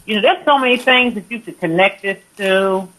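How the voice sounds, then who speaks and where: An American woman calling in to a casual discussion, thinking aloud and pausing to find her words. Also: mid-range voice, sharp tone, poor audio. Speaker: call-in participant.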